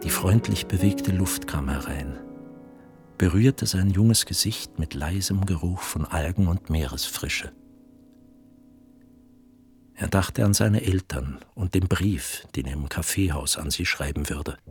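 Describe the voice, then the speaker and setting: Deep male narrator reading literary radio play, measured n precise, some piano behind. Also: a little mellow.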